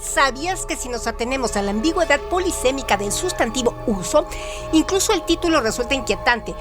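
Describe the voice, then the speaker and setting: High-voiced woman narrating formally over music. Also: expressive, brisk. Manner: formal, didactic. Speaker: radio presenter. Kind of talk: scripted literary essay narration with question hooks. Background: background music.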